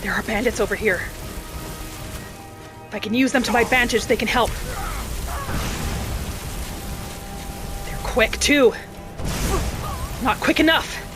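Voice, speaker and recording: A woman's voice: fairly high pitch, normal speed, pauses often, close to an everyday voice. Woman voicing a vengeful young vampire hunter, dramatic. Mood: battle intensity. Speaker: roleplay gamer narrating in character. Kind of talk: dramatic in-character game narration. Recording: music behind the voice. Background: game music.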